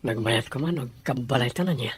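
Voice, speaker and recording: A man's voice: mid-range voice, a little fast, a little hiss or hum, lightly acted. A man with an Ilocano accent playing a loving young fiancé who talks in an easygoing, tender way.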